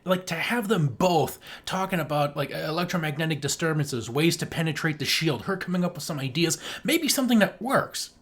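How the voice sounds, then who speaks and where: Slangy higher-voiced male podcaster. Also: expressive, brisk, hardly any pauses, fairly bright tone. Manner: slangy, joking, sweary. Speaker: podcast co-host. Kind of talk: casual film review chat.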